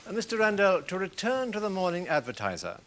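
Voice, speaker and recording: A male prosecutor in a courtroom drama, courteous and orderly. His voice sits a little high and moves quickly. The intonation is very animated, while the wording stays formal. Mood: businesslike. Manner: formal, polite, measured phrasing. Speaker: barrister.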